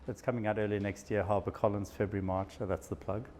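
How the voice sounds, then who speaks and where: A man's voice: on the low side, steady tone, quick pace, a little mellow, a little hiss or hum. A man from South Africa with a South African accent, a psychiatrist giving a casual, self-deprecating talk to a live audience. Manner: chatty, self-deprecating, with frequent laughs. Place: event room with an audience.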